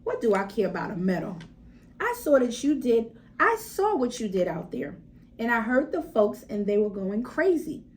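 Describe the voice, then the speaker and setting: Woman playing a blunt theater boss, male storybook figure, urgent, persuasive, lively pitch swings. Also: normal speed. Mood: scoffing. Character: eager, blunt theater manager, adult man.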